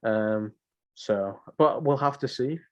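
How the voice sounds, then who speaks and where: A man's voice: fairly low pitch, takes it slowly, smooth. Muffled young man chatting. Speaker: podcast co-host. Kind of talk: casual pop culture podcast chat. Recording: muffled lo-fi home recording.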